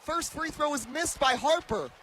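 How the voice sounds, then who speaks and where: High-pitched young man calling basketball. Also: normal speed, very noisy. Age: 20s. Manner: excitable, dramatic calls that rise into shouting. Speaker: play-by-play commentator. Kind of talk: live radio basketball commentary. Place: basketball arena.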